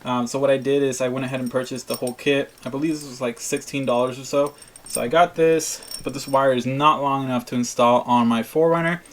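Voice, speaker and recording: A man's voice: mid-range voice, steady tone, a little fast. A man talking casually to his viewers in an unboxing vlog, explaining things in an informal, plain-spoken way. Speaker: vlogger. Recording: clear background noise, crinkling packaging.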